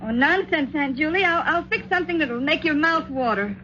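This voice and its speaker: An anxious, kindhearted young niece in an old radio play. Her voice sits a little high, earnest and dramatic, on a dull vintage recording. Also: expressive, a little fast, bright, forceful tone, slight background noise. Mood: coaxing. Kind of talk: 1950s radio drama acting with first-person narration.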